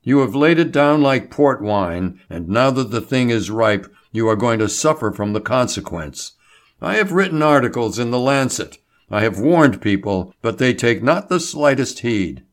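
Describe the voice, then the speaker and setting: A man voicing an excitable male doctor, a scornful crank who snorts and cries out as he lectures. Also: mid-range voice, normal speed, close to an everyday voice. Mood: excited.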